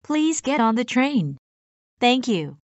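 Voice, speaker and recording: A woman gives formal subway announcements at a very slow, deliberate pace. Her voice sits fairly high and the sound is completely dry. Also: very animated, no echo, lo-fi sound. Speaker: train announcer. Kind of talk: scripted subway public announcement.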